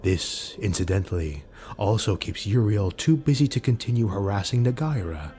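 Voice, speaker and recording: A man's voice: lowish voice, animated, moderate pace, warm tone, faint music behind, close to an everyday voice. A man voicing a sly, mocking rogue retainer in an audiobook, deferential and insinuating. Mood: sly, measured.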